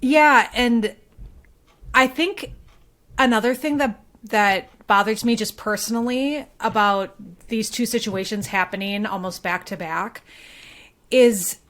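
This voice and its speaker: A woman's voice: fairly high pitch, slow, fairly bright tone. A woman co-hosting a podcast in casual conversation, thinking aloud and hedging her points as she goes. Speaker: podcast co-host.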